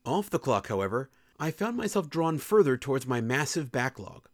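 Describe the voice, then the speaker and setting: A man's voice: medium pitch, big pitch swings, normal speed, no echo, studio quality. A young man reading his own personal column aloud in a candid, earnest and reflective way. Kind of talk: scripted personal essay narration.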